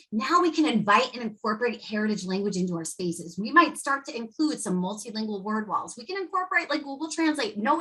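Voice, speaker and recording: A woman educator leading a workshop for teachers, talking with lively energy and acting out quotes from students and parents. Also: on the high side, low-quality recording. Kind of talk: presenting to educators.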